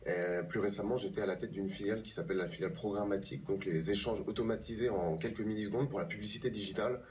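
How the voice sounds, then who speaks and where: A man of thirty-five from Paris explaining himself haltingly as a guest in a TV interview. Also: lowish voice, steady tone. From France, born in Paris. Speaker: guest, pianist and composer. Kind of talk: live TV interview. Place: TV news studio, replayed from a television. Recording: distant, off a TV screen, faint music.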